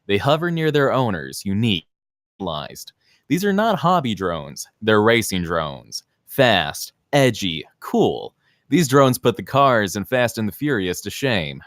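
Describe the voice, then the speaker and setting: A man's voice: lowish voice, very animated, measured pace, fairly bright tone, no echo, studio quality. A man narrating scene descriptions and stage directions at a screenplay table read.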